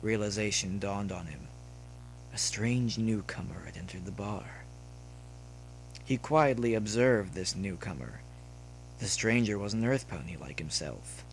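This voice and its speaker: Male story narrator, lower register, measured dramatic read with deliberate phrasing, slight noise and hum behind. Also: expressive, rough recording. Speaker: audiobook-style narrator of fan fiction. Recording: noticeable background noise with hum.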